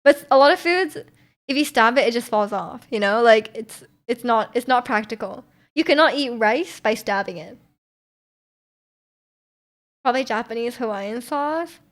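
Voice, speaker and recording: A young woman streaming live and chatting casually with her viewers. Her voice sits a little high, and she rambles, restarting sentences and filling gaps with likes and ums. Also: normal speed, long pauses. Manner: rambling, many fillers and restarts, frequent laughter. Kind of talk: casual chat reading viewer comments.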